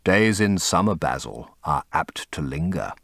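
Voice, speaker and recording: A man plays a languid aristocrat in an audiobook novel, cynical and amused. He delivers urbane lines with lively intonation, in a dry, close recording. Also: very deep voice, measured pace, dry recording, voice pushed down, heavily acted. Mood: languid, musing. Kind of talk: dramatic audiobook character acting.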